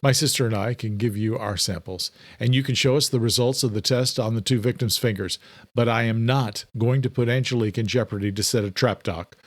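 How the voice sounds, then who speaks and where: A man as blunt, flat-toned protective brother. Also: medium pitch, brisk, no echo, clean studio sound, lightly acted. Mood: firm.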